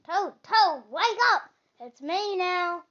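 A child voicing an eager, cheerful frog in an excited, coaxing storybook read-aloud. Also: very high voice, slow, muffled recording, voice pushed up, full character voice. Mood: urgent, excited. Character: eager, cheerful frog urging his friend outside.

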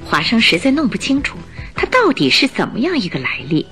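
A woman narrating a radio drama in an expressive, scripted storytelling style. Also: a little high, a little fast, soft background music.

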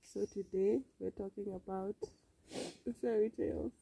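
Very mellow, creaky Kenyan woman. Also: mid-range voice, fairly even intonation, a little slow. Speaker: podcast co-host. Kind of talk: casual bantering chat, mixing Swahili and English.

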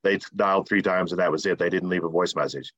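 Low-voiced man, hesitant sales agent, dull muffled call audio. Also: fairly even intonation, quick pace, fairly bright tone. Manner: hesitant, brief replies.

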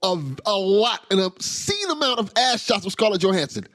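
A man's voice: high-pitched, animated, moderate pace, fairly bright tone, no room sound, studio quality. A man hosting a podcast in an enthusiastic, casual way, laughing often and repeating his words. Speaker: podcast host.

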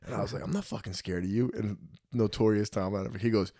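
A man in his thirties telling stories in a casual podcast chat, profane and animated. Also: lowish voice, fast, dry recording, studio quality. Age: 30s. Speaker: podcast guest.

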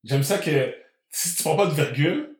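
A man's voice: on the high side, lively intonation, normal speed. A man with a Quebec French accent, chatting casually and dropping English words into his sentences. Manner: mixes English words into speech. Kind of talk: casual conversational chat. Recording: roomy, echoey room.

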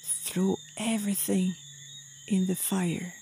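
A woman leading a guided meditation in a soft, breathy, soothing voice, with short phrases and long pauses. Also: mid-range voice, little pitch movement, slight background noise, rough recording. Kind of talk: slow guided meditation narration.

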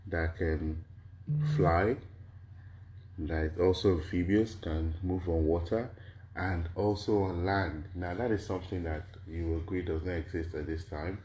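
Man, very low voice, slightly hoarse, lecturing, rhetorical questions, lists of examples. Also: fairly even intonation, unhurried, dark tone, a little hiss or hum. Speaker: lecturer on intellectual property. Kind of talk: explanatory lecture to an audience.

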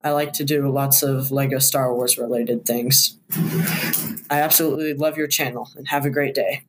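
A man's voice: mid-range voice, fairly even intonation, moderate pace. A man leaving a listener voice message, setting out prepared questions in order. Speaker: listener calling in. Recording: voice message with faint background noise.

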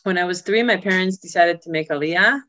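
A woman who grew up in Israel, telling her life story as an interview guest, pausing often with filler sounds and restarts. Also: fairly low pitch, sharp tone, dry recording. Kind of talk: personal life story in conversation.

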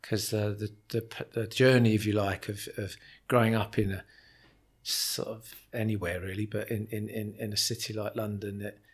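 A man hosting a podcast interview, asking questions and summing up his guest's story in a measured, encouraging way. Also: fairly low pitch, level tone, husky. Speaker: podcast host. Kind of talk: interview conversation.

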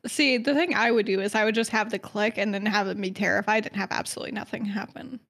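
A woman co-hosting a casual podcast, chatty and quick to laugh, telling stories with dramatic flair. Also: medium pitch, fairly even intonation, vocal fry, a bit bright. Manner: chatty, giggly, dramatic storytelling. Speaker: podcast co-host presenting lore research.

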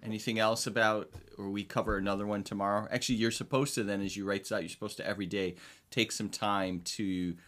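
A man's voice: lowish voice, flat delivery, fast talker, a bit bright. A man hosting a casual family podcast, easygoing and steering the talk. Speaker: podcast host and parent.

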